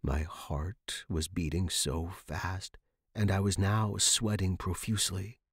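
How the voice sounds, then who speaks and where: A man's voice: sits low, little pitch movement, slow pace, fairly bright tone, slightly rough, no echo, clean studio sound. A man reading scripted first-person suspense fiction as a podcast narrator.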